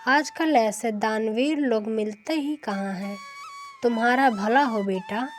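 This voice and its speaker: A woman's voice: on the high side, takes it slowly, soft background music. A woman voicing a grateful, benevolent old holy man who speaks kindly in a cartoon moral story. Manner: blessing, kindly.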